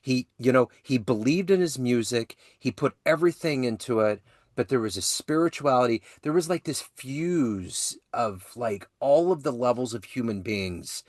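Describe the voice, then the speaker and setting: A man's voice: medium pitch, animated, normal speed, darkish tone, no room sound, studio quality. A man hosting an interview podcast, sharing enthusiastic anecdotes and saying "you know" often. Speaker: podcast and jazz radio host. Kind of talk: casual interview chat.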